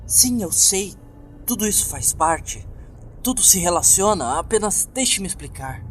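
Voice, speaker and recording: A Brazilian man voicing an earnest, troubled young woman who practises witchcraft, explaining herself haltingly in a horror story. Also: high-pitched, big pitch swings, a bit bright, voice pushed up, heavily acted. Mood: earnest, pleading. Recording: music bed behind the voice.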